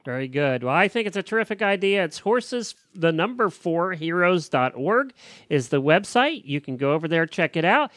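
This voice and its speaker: A man's voice: on the high side, very animated, moderate pace, fairly bright tone, no room sound, clean studio sound. A man speaking casually as the host of a radio-style talk show. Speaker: podcast host.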